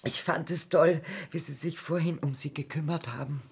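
Nice apologetic lady, husky voice, natural radio drama dialogue. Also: low-pitched, steady tone, normal speed, a little mellow, full character voice. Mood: kind. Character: apologetic, kindly woman back from an errand.

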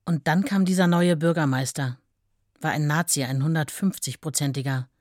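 An actress in a radio play voicing a writer's hurt, plainspoken wife who looks back in conversational, halting phrases. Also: female voice, deep voice, darkish tone, no echo, clean studio sound, close to an everyday voice. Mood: indignant.

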